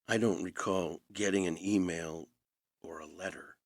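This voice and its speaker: An actor in an audio play playing a charming but self-blaming movie star and estranged older brother, measured and quietly intense with dry teasing. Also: male voice, sits low, steady tone, creaky, full character voice. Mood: dry, probing. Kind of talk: intimate audio play acting.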